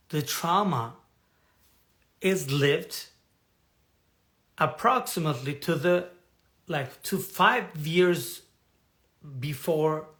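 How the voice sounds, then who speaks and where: Slow, halting man searching for words. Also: a little high, fairly bright tone. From has lived in Turkey for decades. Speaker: personal development coach. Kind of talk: live talk to an online audience.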